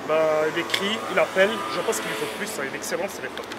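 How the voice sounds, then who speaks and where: A man's voice: a little high, very fast, heavy background noise, natural voice. A young man with a Belgian French accent playing a brash, slangy guy who mocks and gets defensive. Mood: mocking. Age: young adult.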